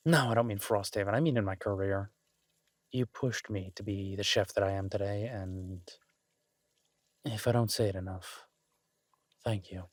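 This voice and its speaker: A man playing an exasperated but affectionate restaurant chef in an intimate boyfriend audio roleplay, talking conversationally with halting, stammered restarts. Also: on the low side, steady tone, no echo, close to an everyday voice. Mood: tender, sincere. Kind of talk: intimate boyfriend roleplay, one-sided casual dialogue.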